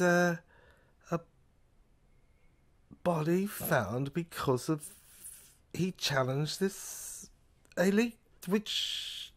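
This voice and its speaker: A speaker in an amateur audio drama, explaining things earnestly in a halting, stammering way with repeated phrases. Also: medium pitch.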